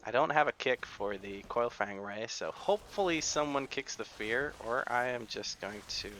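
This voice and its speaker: Bright-voiced American man chatting over gameplay. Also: mid-range voice, normal speed, a little hiss or hum. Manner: chatty, rambling, self-correcting. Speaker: gaming YouTuber. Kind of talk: casual gameplay commentary.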